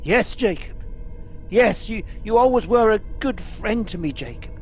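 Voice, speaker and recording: A man's voice: high-pitched, expressive, moderate pace, dull audio, faint music behind. A man playing a stubborn, scoffing and fearful elderly miser in a radio play, stammering and repeating words in agitation. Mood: pleading, anxious.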